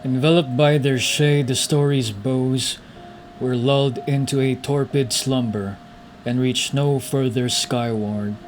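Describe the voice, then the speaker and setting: Man, gaming streamer, casual commentary, slow pace, soft background music. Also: medium pitch, steady tone, low-quality recording. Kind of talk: casual gameplay commentary, reading subtitles aloud.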